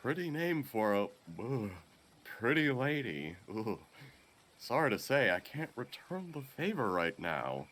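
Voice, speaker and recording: A man's voice: mid-range voice, very animated, a little slow, a bit bright, pitched above the performer's own voice. A man voicing a shady, folksy merchant in a game, flirty and cagey with salesman patter. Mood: sly, flirty.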